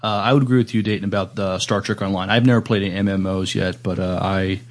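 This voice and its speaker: A man's voice: low-pitched, steady tone, fast talker. A man chatting casually on a podcast panel. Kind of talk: casual chat.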